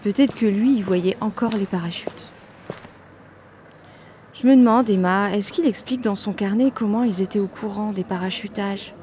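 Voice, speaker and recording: A woman's voice: medium pitch, moderate pace, dark tone, slight background noise, close to an everyday voice. An actress playing a wistful granddaughter remembering her grandfather, conversational, in an audio drama.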